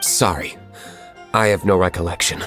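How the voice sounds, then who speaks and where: An actor playing a stern, aloof young tavern owner in a video game, curt, cold and deadpan. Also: male voice, low voice, moderate pace, music in the background, lightly acted. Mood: cold, dismissive.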